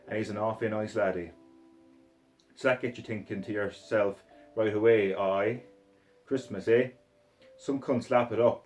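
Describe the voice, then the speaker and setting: A man's voice: lowish voice, darkish tone, natural voice. A man reading aloud as a foul-mouthed, violent Scottish hard man, swearing and boastful in a Scottish accent. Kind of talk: reading a short story aloud in character. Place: sitting room floor.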